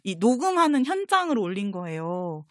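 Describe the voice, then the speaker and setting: A woman's voice: mid-range voice, sing-song, moderate pace, no echo, studio quality. A woman chatting casually as a guest, telling fan stories in an effusive, gushing way. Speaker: talk show guest, comics publisher designer and voice-drama fan.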